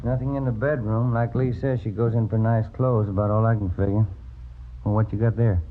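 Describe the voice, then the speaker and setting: A man's voice: lowish voice, flat delivery, fairly quick, close to an everyday voice. A man playing a courteous, casual police detective partner in an old radio crime drama. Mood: musing. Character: polite, folksy male police detective, the partner. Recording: muffled old radio recording, faint noise.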